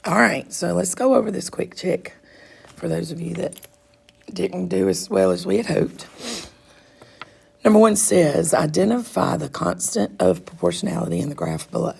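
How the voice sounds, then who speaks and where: A woman with a congested, raspy, froggy throat, patiently walking students through a math quiz step by step as their teacher. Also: fairly low pitch, lively intonation, normal speed, a little mellow. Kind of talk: recorded math lesson walkthrough.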